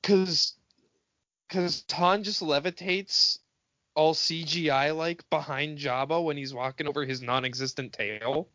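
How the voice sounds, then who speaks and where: A man's voice: on the high side, steady tone, a little slow, pushed and bright, dry recording, dull audio. A man co-hosting a podcast in casual film banter, sarcastic and profane, mockingly acting out lines. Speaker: podcast co-host.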